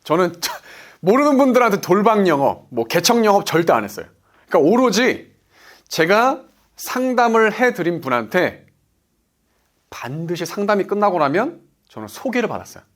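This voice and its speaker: Emphatic Korean man with lively pitch. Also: normal speed. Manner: emphatic, asks himself "why?" then answers. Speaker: insurance sales director. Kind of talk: motivational business talk.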